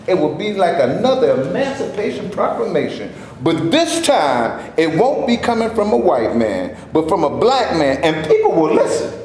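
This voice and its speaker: An earnest, hopeful man speaks in a measured, rousing way, read by a man on stage. His voice is high for a man and swings widely. The sound rings out in a very echoey room. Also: slight background noise. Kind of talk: staged play reading.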